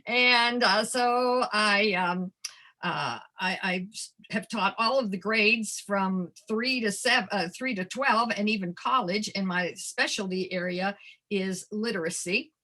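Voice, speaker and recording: A woman's voice: medium pitch, unhurried, bright, forceful tone. An older woman, sixties or above, an educator giving a webinar presentation, addressing her listeners directly and stressing key phrases. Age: 60s or older. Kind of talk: webinar presentation to childcare providers.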